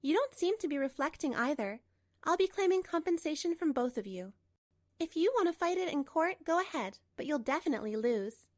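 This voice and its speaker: A woman playing a composed betrayed wife in a manga dub, narrating and speaking with measured, pointed delivery. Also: high-pitched, animated, no room sound, studio quality. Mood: firm. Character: betrayed wife, composed, coolly resolute, narrating her story.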